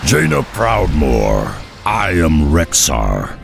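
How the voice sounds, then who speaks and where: A gravelly hulking beast hunter. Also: male voice, a bass voice, wildly swooping pitch, takes it slowly, over music, full character voice. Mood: stern. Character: gruff hulking male half-ogre beast hunter. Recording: game cutscene with loud score.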